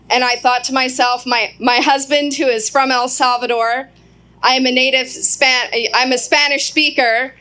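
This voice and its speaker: A woman's voice: high-pitched, a bit bright. Earnest woman addressing a crowd. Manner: earnest, asks the crowd questions. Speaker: guest speaker. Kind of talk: public speech to a live crowd. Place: outdoor event.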